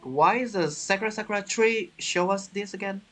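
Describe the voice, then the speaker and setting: High-pitched man commenting on a game. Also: a bit bright, soft background music. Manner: halting, non-native phrasing, thinking aloud. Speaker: game streamer. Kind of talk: casual let's play commentary.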